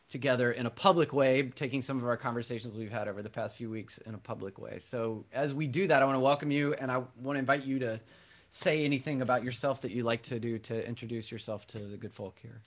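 A man who is a pastor, reading scripture and then earnestly welcoming a guest into a conversation during a church service. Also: mid-range voice. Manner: earnest, heartfelt, with some restarts. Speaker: Presbyterian pastor and podcaster hosting a conversation. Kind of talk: worship-service dialogue, welcoming and introducing a guest.

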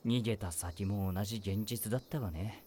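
An actor voicing a weary male high-school teacher who talks in a dry, wry, world-weary way. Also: normal speed, mellow, a little hiss or hum, natural voice. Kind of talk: voice drama acting.

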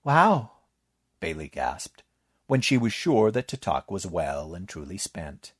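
A man reading a story aloud in measured, scripted narration. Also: deep voice, big pitch swings, breathy edge, dry recording, studio quality. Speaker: story reader.

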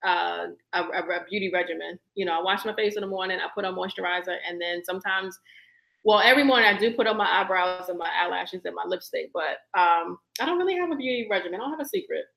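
Woman, podcast co-host, casual and reflective, bright tone, echoey room. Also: on the low side, fast, creaky. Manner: chatty and reflective, laughs easily. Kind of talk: casual live-stream chat.

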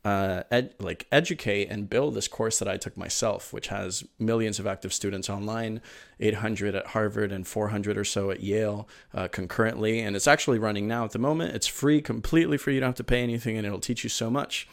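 A man's voice: on the low side, steady tone, a little fast, vocal fry. A man from Venezuela, in his thirties, chatting eagerly as an interview guest, rambling with lots of fillers and restarts. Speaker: podcast guest. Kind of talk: casual interview storytelling.

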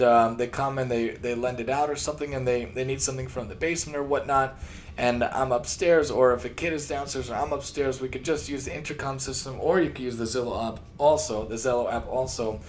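A man's voice: medium pitch, fairly even intonation, fairly quick, a little hiss or hum. A middle-aged American man hosting a casual solo podcast, talking in an enthusiastic, emphatic way and often repeating himself. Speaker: podcast host. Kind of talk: casual solo podcast talk, tip of the day.

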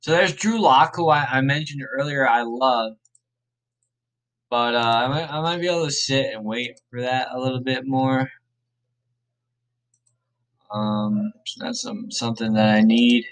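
A man hosting a podcast, thinking aloud in a casual, rambling way, his voice a little raspy and worn. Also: medium pitch, measured pace, pauses often, a bit bright. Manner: rambling, laid-back, self-correcting. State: slightly raspy, tired voice. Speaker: podcast host. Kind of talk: casual thinking aloud during a fantasy draft.

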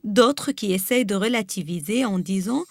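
A woman's voice: medium pitch, animated, normal speed, vocal fry, darkish tone. Lebanese woman with a rolled R. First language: Arabic. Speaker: guest reader. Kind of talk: reading a personal essay aloud.

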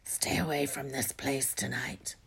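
A hoarse, croaking whisper of a storybook boy posing as a stern ghostly baron, performed by a woman. The delivery is hushed and commanding. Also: rumbling low, fairly even intonation, a little slow, voice pushed down, full character voice.

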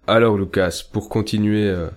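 A young man from Belgium with a fairly low voice hosts a political talk podcast. He argues with conviction and lifts his voice to stress each key point, in a relaxed conversational way. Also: normal speed, soft background music. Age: young adult. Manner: earnest, emphatic, rises in pitch on key points. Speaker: podcast host.

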